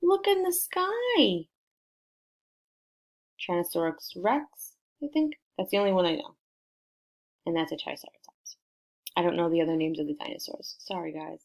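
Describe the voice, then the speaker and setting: A woman reading a picture book aloud to children in an expressive way, prompting her listeners with questions. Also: fairly low pitch, moderate pace, pauses often. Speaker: storybook reader for children.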